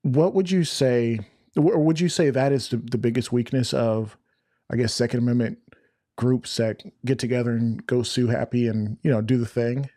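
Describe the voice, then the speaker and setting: Male podcast host, middle pitch, flat, chatty, loose delivery. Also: normal speed, no room sound, studio quality. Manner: flat-voiced, rambling and chatty, self-described. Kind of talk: casual interview chat.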